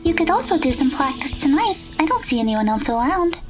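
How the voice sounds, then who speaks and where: A woman's voice: high voice, very animated, fairly quick, music in the background. A woman playing a friendly, eager teenage girl with a bubbly manner in an audio drama.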